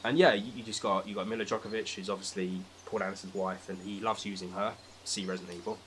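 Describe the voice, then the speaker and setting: A man's voice: fairly low pitch, fairly even intonation, fast talker, noisy background. A British man in a casual, opinionated film discussion, talking emphatically with exasperated, sweary rants. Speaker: podcast speaker.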